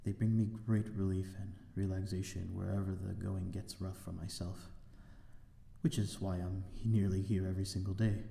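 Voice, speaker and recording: Man playing a deep, mellow, gentlemanly vampire. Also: little pitch movement, moderate pace, gravelly, echoey room. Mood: serene, refined. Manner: genteel, formal, hesitant, old-fashioned wording. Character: courteous, lonely male vampire lord of a manor. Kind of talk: ASMR audio roleplay, amateur drama.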